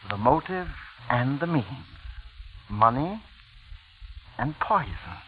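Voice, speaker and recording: A man's voice: mid-range voice, animated, takes it slowly. An American man playing a sly, menacing former prosecutor with a smug, insinuating manner in a 1940s radio drama. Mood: sly menace. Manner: smug, insinuating, drawling little 'huh' tags. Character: sly, menacing former prosecutor blackmailing his brother's wife. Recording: tinny, hissy 1940s radio recording.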